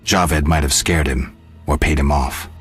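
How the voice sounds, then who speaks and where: A man playing a gruff, terse monster hunter in a video game, with dry, laconic delivery. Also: a bass voice, a little slow, exaggerated voice. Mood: matter-of-fact. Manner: deadpan, laconic. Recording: music behind.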